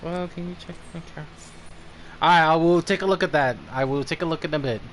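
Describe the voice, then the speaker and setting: A man's voice: fairly high pitch, a little fast, fairly bright tone, slight background noise. A man commentating casually on a live game stream as its host, swearing often. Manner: frequent swearing. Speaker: streamer reviewing game characters.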